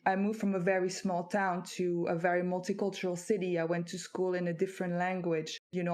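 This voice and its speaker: A woman's voice: on the low side, a little mellow. A woman from France, a native French speaker, speaking as a guest author and recounting her path in a thoughtful, reflective way with hesitant fillers. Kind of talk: conversational interview.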